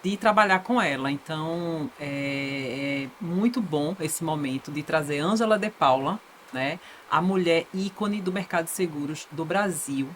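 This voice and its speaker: Low-voiced female podcast co-host. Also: a little slow, hardly any pauses, slight background noise. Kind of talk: podcast interview.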